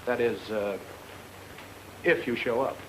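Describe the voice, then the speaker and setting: An actor playing a tough, take-charge private detective with clipped, slangy, hard-boiled delivery in 1940s film noir style. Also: male voice, mid-range voice, slow, a bit bright, slight background noise, low-quality recording. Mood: brisk, commanding. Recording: old film soundtrack with background music.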